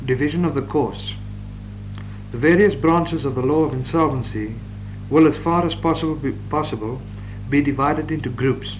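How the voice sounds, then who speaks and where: A man, reading out law course notes in a measured, dictating manner with occasional stumbles. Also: on the high side, lo-fi sound. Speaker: law lecturer. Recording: old reel-to-reel tape with hum.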